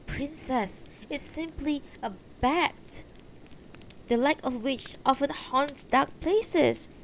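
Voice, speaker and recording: A woman voicing a gentle, protective elderly husband in a fantasy story, earnest and soothing. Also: high-pitched, slow pace, slight background noise, pitched above the performer's own voice, rough recording. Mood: concerned.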